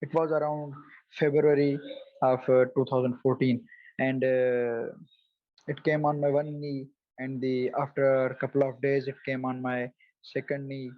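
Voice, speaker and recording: A man in his twenties from Pakistan, speaking as a guest on a video call, halting, with many fillers and restarts. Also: medium pitch, level tone, dry recording, narrow, tinny audio. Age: 20s. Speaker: guest, psoriasis advocate. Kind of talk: casual interview answers.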